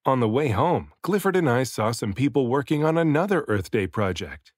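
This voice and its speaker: A man reading a children's picture book aloud as an expressive storybook narrator, varying his delivery for emphasis. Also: medium pitch, moderate pace, no echo, clean studio sound. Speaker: children's book reader, dad and voice actor.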